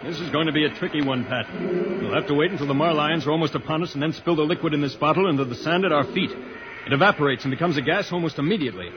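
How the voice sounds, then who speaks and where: A man plays an earnest space hero in a vintage radio adventure. His voice sits a little high for a man, with a declamatory, urgent delivery. The old recording is dull and noisy. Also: quick pace, natural voice. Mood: tense resolve. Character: earnest, heroic alien spaceman, puzzled by Earth slang. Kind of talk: old-time radio serial acting.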